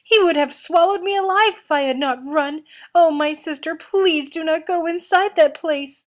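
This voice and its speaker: A vain, proud young woman from a storybook, acted out by a woman reader. Her voice is high and she exclaims loudly, with plenty of drama. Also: normal speed, natural voice. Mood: hysterical.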